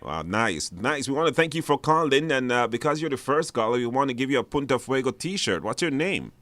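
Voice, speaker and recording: A man's voice: mid-range voice, animated, fairly quick, a bit bright, no room sound. Man hosting radio, dry studio sound. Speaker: radio call-in show host. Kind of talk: casual live radio hosting.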